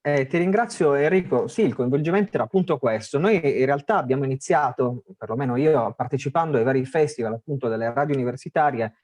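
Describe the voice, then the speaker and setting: A man's voice: mid-range voice, lively intonation, fast talker, hardly any pauses, darkish tone, dry recording. A man with a Sardinian Italian accent presenting a radio festival, talking casually with many fillers and restarts. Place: remote online call.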